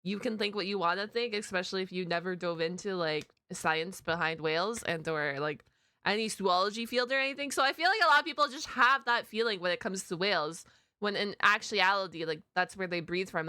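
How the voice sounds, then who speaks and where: A woman hosts a casual reaction video, rambling informally and dropping slang as she goes. Her voice is bright and forward, smooth and clean, recorded close and dry. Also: mid-range voice, no echo. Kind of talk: casual chatty reaction commentary.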